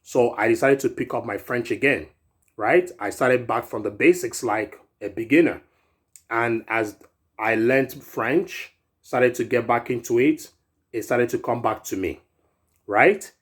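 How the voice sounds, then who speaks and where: A man's voice: medium pitch, a little slow, slightly rough. A man in his thirties from Europe, a fitness vlogger giving a chatty motivational talk that often ends with "right?". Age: 30s. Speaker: fitness YouTuber. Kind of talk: casual motivational vlog talk.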